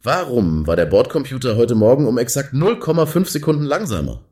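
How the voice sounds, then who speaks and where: A man's voice: medium pitch, very animated, a little fast, a little mellow, lightly acted. A man playing a paranoid, easily slighted spaceship captain in a satirical radio play, brooding and suspicious. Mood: brooding suspicion.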